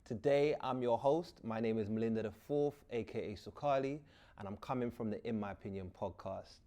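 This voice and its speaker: A man's voice: mid-range voice, fairly quick, dark tone. A man, hosting a casual podcast with upbeat, playful banter. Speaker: podcast host.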